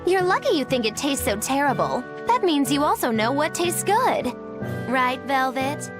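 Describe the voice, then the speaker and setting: A woman playing a gentle, earnest young boy in a video game, speaking sincerely and a little hesitantly. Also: high voice, sing-song, moderate pace, over loud music. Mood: matter-of-fact. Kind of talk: English video game voice acting.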